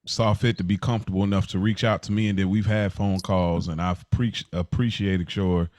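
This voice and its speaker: Guy w a lowish voice, dry close sound, easygoing agreeable podcast chat. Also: fairly even intonation, moderate pace, darkish tone, no echo. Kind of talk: casual chat.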